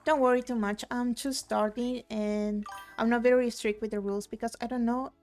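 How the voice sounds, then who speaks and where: Young woman, from Argentina, casual streamer, rambling chat, slightly high voice, soft game music behind. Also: steady tone, moderate pace. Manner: chatty, reads chat aloud, rambling with fillers. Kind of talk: casual live-stream chat while gaming.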